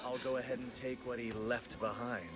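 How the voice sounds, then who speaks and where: A man's voice: mid-range voice, little pitch movement, moderate pace, over loud music, natural voice. An actor playing a gloating, contemptuous male villain in a video game, with a taunting, sneering manner. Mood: smug.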